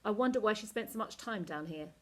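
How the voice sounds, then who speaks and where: A woman reads a story aloud like a teacher to a class. Her narration is expressive and animated, with drama in the telling and a middling pitch. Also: quick pace. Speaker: teacher reading a novel aloud.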